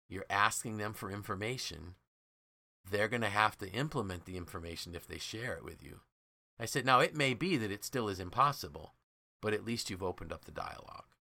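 Bright, forceful man hosting a podcast. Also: on the low side. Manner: enthusiastic, emphatic, acts out quoted dialogue. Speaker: podcast host and leadership trainer. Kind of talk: conversational podcast storytelling.

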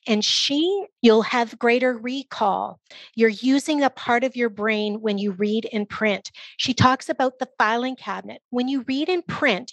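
A woman's voice: fairly high pitch, dry recording. A woman speaking as an expert guest, answering interview questions in an explanatory, conversational way. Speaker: literacy program guest expert.

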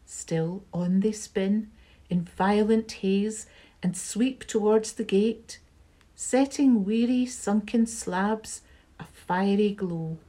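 A woman reading a poem aloud in a slow, measured recitation. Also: medium pitch, warm tone. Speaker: library staff member reading a poem. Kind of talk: poetry reading.

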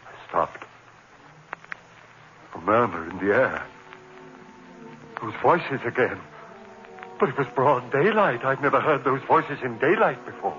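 A brooding, haunted lecturer. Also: male voice, mid-range voice, animated, a little slow, pauses often, fairly bright tone, faint music behind. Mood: haunted narration. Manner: intense, brooding, breaking into frantic outbursts. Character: haunted lecturer driven to madness. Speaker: narrator. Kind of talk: 1940s radio acting. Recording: muffled old radio broadcast with hiss.

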